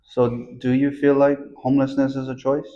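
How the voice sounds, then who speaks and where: A slightly dull recording of a male interviewer with a mid-pitched voice. He puts plain questions in a flat, even tone with little melody. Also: normal speed.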